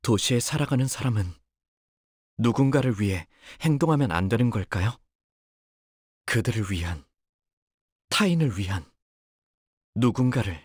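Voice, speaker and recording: An actor playing a timid, polite young male fighter in a video game, hesitant and soft-spoken but capable of cold focus. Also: medium pitch, slightly rough, no room sound, clean studio sound. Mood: earnest, subdued. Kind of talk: Korean video game character voice lines.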